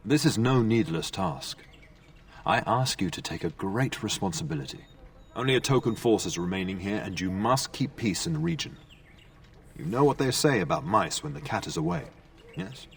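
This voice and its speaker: A man's voice: lowish voice, big pitch swings, normal speed, recorded from a distance. An actor playing a commanding yet fond middle-aged noble lord and father in a video game, speaking formally. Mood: earnest, instructing. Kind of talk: video game dialogue acting.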